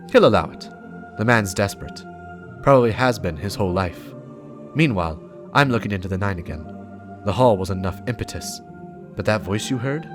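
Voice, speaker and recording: Man performing a stern, low-voiced sci-fi investigator. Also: lightly acted. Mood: grim, resolute. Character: stern, driven sci-fi investigator dictating secret field reports. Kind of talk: dramatic reading of game lore. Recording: music behind the voice.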